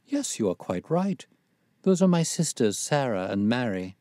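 A weary, impatient spinster of middle years, as a male narrator puts her on, pitched well above his own voice. She is plaintive and chatty, confiding grievances in old-fashioned storybook phrasing. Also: big pitch swings, a little slow, a little mellow, dry recording, studio quality. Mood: confiding, regretful.

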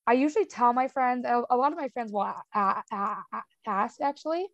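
A woman's voice: on the high side, lively intonation, brisk, no echo, dull audio. A young woman with a stutter, talking casually as an interview guest, with sound repetitions, blocks and lots of "um" and "like".

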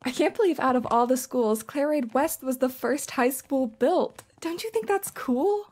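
A woman playing a cheerful, curious high school girl in an audio drama. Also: expressive, moderate pace, a little mellow, a little hiss or hum. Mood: bright, friendly. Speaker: voice actor.

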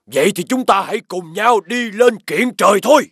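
A man voicing a big, cowardly tiger who blusters as lord of the jungle in a children's cartoon, in a gravelly, plaintive voice. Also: sits high, moderate pace, fairly bright tone, no echo, clean studio sound, exaggerated voice. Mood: eager. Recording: music behind the voice.

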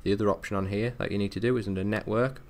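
A man giving an informal, matter-of-fact step-by-step software tutorial as a technical support presenter. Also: fairly low pitch, steady tone, very fast.

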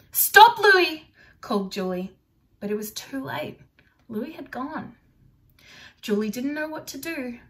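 A young woman reads a children's storybook aloud. Her pace is slow and careful, with an expressive storytelling delivery and a middle-range pitch. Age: young adult. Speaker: storybook reader for primary children. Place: small furnished room indoors.

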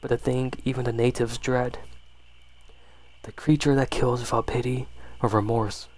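A man's voice: mid-range voice, level tone, moderate pace, a little mellow. A man reading a horror story aloud as an ASMR storyteller, sometimes stumbling and rereading phrases.

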